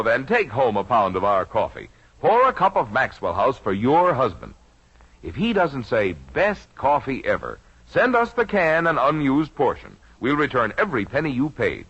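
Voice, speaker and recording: An American man working as a commercial announcer, giving a folksy, persuasive 1950s radio sales pitch. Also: a bit bright, breathy edge. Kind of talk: 1950s radio ad read, smooth-talking pitch. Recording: muffled old radio recording.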